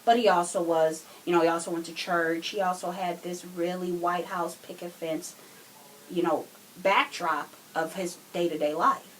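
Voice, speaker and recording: A woman with a fairly low voice talks on a casual podcast. She explains her views in an earnest way, with faint noise behind her. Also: steady tone, normal speed, poor audio. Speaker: podcast co-speaker.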